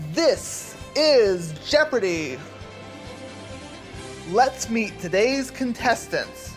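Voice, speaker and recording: A woman playing a game-show announcer who introduces contestants with drawn-out, showy flair for a radio parody. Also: fairly high pitch.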